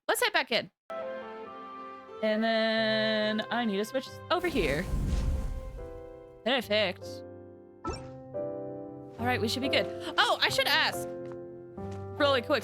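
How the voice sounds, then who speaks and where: A woman streaming a game and chatting casually with viewers. Her voice is a little high, and game music plays clearly behind her.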